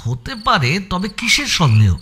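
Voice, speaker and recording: A man's voice: high voice, huge pitch swings, unhurried, pushed and bright, slight background noise, exaggerated voice. An actor playing a detective's keen assistant in an audio story, inquisitive and deferential as he questions his superior. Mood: questioning. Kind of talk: Bengali audio story acting.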